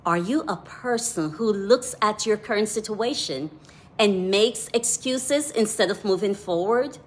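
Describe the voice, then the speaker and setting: Woman, Jamaican accent, devotional author, unhurried, measured scripture reading. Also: mid-range voice.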